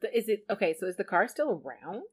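A woman co-hosting a casual podcast, talking in a chatty, animated way and laughing easily. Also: mid-range voice, fast, husky. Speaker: podcast co-host.

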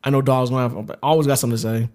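American male, very quick mid-pitched delivery, casual podcast co-host, slangy, sweary, rambling and comedic. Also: steady tone, a little mellow. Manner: slangy, profane, rambling storytelling.

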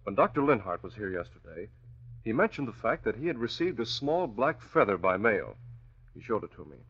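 A calm, thoughtful newspaper boss in an old radio drama. His voice is low and a little rough, measured and serious. The vintage recording sounds dull. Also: exaggerated voice. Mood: grave, thoughtful. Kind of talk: 1940s radio acting.

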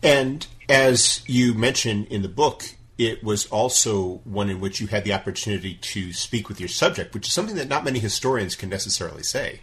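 A man hosting an interview podcast, asking measured, polite questions with occasional hesitations. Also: fairly low pitch, animated, a bit bright. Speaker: podcast host.

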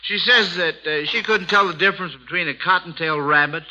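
A man's voice: a little high, fairly quick, pushed and bright. A middle-aged American man hosting a 1940s radio quiz show in a folksy, encouraging, chatty way with children. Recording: dull lo-fi old radio recording.

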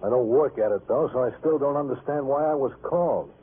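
Sullen, husky radio husband played by an actor. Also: male voice, mid-range voice, fairly quick, close to an everyday voice. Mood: sullen, sardonic. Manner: sardonic, curt. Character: callous, sullen, resentful estranged husband. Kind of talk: old-time radio drama acting. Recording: tinny, rough vintage radio recording.

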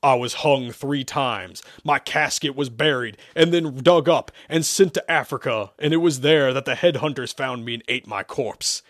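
A man voicing a roaring, foul-mouthed demon who taunts and boasts in a menacing, shouted manner. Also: mid-range voice, normal speed, few pauses, no room sound, studio quality, close to an everyday voice.

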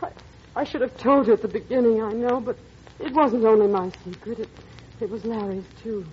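An actress playing a wistful, gentle stepmother with a secret, in a halting, earnest old-time radio drama style. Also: fairly high pitch, fairly even intonation, slightly rough. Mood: earnest, hesitant. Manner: halting, hesitant, softly earnest. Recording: muffled old radio recording, music behind.

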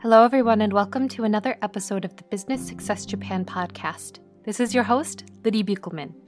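Clear-spoken woman podcast host. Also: mid-range voice, darkish tone, soft background music. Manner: clear, teacherly, spells out phrases slowly. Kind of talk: scripted podcast intro and interview.

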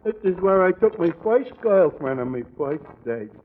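An actor playing a dim-witted, earnest tavern regular in an old radio comedy. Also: male voice, high voice, sing-song, normal speed. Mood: sentimental. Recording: very noisy, dull old radio recording.

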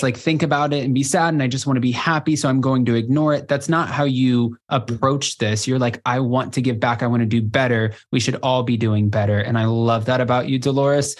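A man hosting a podcast interview, asking questions in an enthusiastic, complimentary way. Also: medium pitch. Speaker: podcast host. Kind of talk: casual interview chat.

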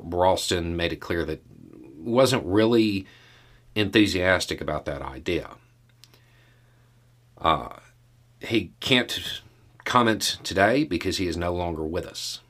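Deep-voiced, slow-talking man. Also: lively intonation, fairly bright tone. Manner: measured, halting delivery with deliberate pauses. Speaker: podcast host commentator. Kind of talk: casual solo news commentary.

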